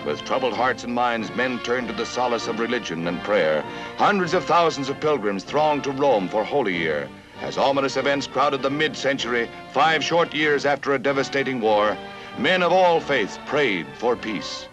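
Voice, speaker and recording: A man's voice: mid-range voice, animated, normal speed, music in the background, rough recording. A man narrating a 1950s newsreel in a solemn, declamatory, dramatic manner, on a vintage soundtrack.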